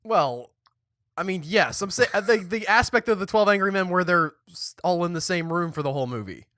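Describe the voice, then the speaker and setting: Podcast co-host, young man, bright tense tone, dry close mic. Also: high voice, a little fast, no room sound. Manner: chatty, animated, restarts and stumbles mid-sentence. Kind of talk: casual chat.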